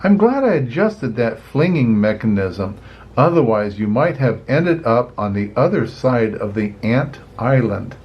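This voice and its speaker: A man's voice: mid-range voice, a little slow, lo-fi sound, a little hiss or hum. An older man voicing a cheerful, eager young male ant inventor who loves showing off his machines in a children's storybook. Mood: eager, happy. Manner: bright, excitable, eager to show off.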